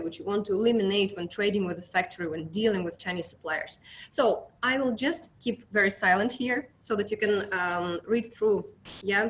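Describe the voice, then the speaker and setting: A woman's voice: medium pitch, slight background noise. A young woman from Russia with a Russian accent, presenting a business webinar as an account manager, speaking hesitantly with many fillers and restarts.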